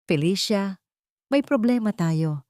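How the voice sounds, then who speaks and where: A woman voicing an anxious male lawyer in an audio drama, hushed and grave. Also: mid-range voice, animated, slow pace, dry recording, clean studio sound. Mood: grave worry. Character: anxious lawyer bringing grave news to his client.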